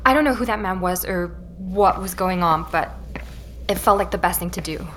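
A woman playing a shaken young woman who records a hurried, halting account in a naturalistic audio drama, sighing between phrases. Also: fairly low pitch, fairly bright tone, a little hiss or hum, close to an everyday voice. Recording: music underneath.

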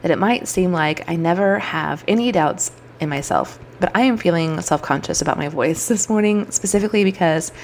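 A woman's voice: fairly low pitch, a little fast, hardly any pauses, darkish tone. A woman in her thirties hosting her own podcast, talking in an upbeat, chatty way, her throat a little scratchy and froggy. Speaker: podcast host. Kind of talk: solo podcast monologue.

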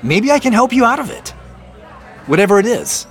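A man's voice: very high voice, sing-song, fairly quick, faint music behind, some chatter behind, exaggerated voice. An actor playing an earnest, probing male boss in naturalistic audio drama, speaking in an insistent, questioning, conversational way. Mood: concerned, pleading.

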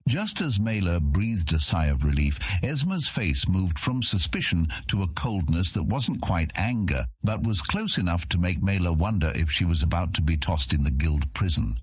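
A man's voice: sits low, expressive, moderate pace, hardly any pauses, husky, dry recording. A man reading scripted fantasy fiction as an audiobook narrator, telling the story in the third person.

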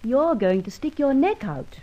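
A woman's voice: sits high, very animated, a little slow, muffled recording, heavily acted. An actress playing a concerned, loyal young female sidekick in an old radio adventure serial. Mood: anxious, attentive. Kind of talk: 1940s-50s radio adventure serial acting.

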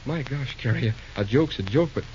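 A man's voice: mid-range voice, expressive, a little mellow, lightly acted. Earnest young railroad man, actor on muffled recording. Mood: baffled, flustered. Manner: halting, sheepish, slangy. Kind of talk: 1930s-40s old-time radio drama acting. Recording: muffled, noisy old radio recording.

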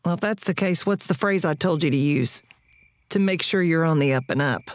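A woman's voice: low-pitched, moderate pace, no echo, close to an everyday voice. An actress playing a wary, folksy cowgirl recluse with a drawl in an audio drama. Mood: wary, threatening. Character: gruff, suspicious, folksy cowgirl recluse, armed and quippy.

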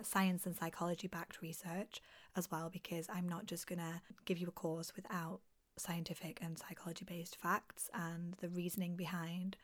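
Husky-voiced woman hosting a podcast. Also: on the low side. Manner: encouraging, motivational. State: losing her voice. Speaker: podcast host. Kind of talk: self-help podcast monologue.

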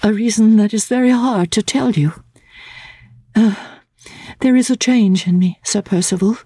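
A woman voicing an anxious, earnest young gentlewoman in a classic-novel audiobook, speaking haltingly and repeating her words. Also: mid-range voice, breathy edge, no echo, studio quality, voice pushed up. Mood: grave, reluctant.

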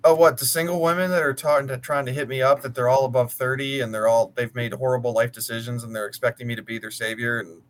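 A man's voice: medium pitch, level tone, fast talker, hardly any pauses, rough recording. An American man of about thirty chatting casually, talking at length in a blunt, sweary way. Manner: blunt, profane, talkative. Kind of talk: casual chat.

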